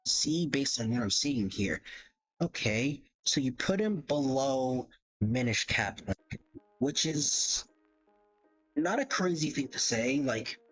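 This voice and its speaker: A man's voice: medium pitch, animated, slow, a bit bright, slightly rough. Man, casual livestream host, hesitant, self-correcting, mid-range voice, lo-fi audio, faint background music. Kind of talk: casual livestream commentary, ranking game characters. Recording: dull lo-fi mic, music behind.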